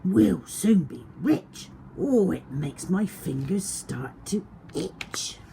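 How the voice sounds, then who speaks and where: A woman's voice: on the low side, animated, takes it slowly, gravelly, dark tone, a little hiss or hum, heavily acted. A woman voicing a gullible, greedy male crook in a children's storybook. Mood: gleeful. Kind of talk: children's storybook read aloud.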